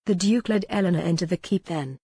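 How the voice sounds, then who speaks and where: A woman's voice: lowish voice, brisk, dark tone, husky, no echo, studio quality. A woman reading scripted historical romance narration as an audiobook narrator.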